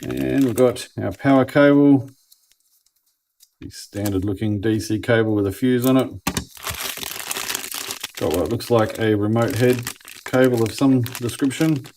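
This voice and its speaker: A man's voice: mid-range voice, a little slow, pauses often, darkish tone, slight background noise, rough recording. An Australian man giving a casual hands-on product review in a laid-back, plain-spoken way, as if thinking aloud. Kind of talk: casual unboxing and review commentary.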